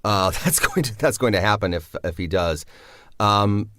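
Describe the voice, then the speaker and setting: A man with a very low voice answers interview questions about his own writing. He speaks reflectively and often hesitates, and the sound is dry and close to the mic. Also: lively intonation, moderate pace, a bit bright, no room sound. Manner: reflective, hesitant, many fillers. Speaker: writer of the drama.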